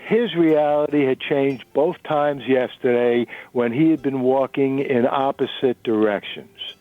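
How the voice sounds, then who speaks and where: A man's voice: medium pitch, steady tone, unhurried, no echo. A man narrating a novel in a dramatic reading. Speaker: narrator and reader. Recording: narrow-band radio recording.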